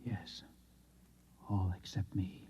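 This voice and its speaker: An actor playing a distressed, haunted man who claims to be the last Martian, with an American accent and halting, anguished delivery in a 1950s radio drama style. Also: deep voice, fairly even intonation, warm tone, a little hiss or hum. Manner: halting, anguished, hesitant and repeating himself. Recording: dull, muffled vintage radio recording.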